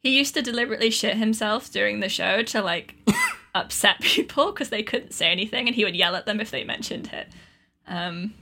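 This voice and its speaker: A woman's voice: medium pitch, brisk, pushed and bright. A woman chatting casually on a comedy podcast, with an informal, wry way of talking. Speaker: podcast panelist.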